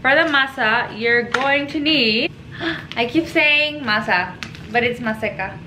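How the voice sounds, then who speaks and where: A woman's voice: fairly high pitch, unhurried, sharp tone. A young woman whose first language is Spanish, rambling casually and informally while making a home cooking video in her kitchen. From Mexico. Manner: rambling, informal, self-deprecating. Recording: echoey kitchen with background noise.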